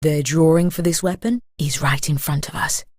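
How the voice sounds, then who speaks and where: Low, husky, wry game narrator. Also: female voice, steady tone, moderate pace, darkish tone, dry recording, clean studio sound.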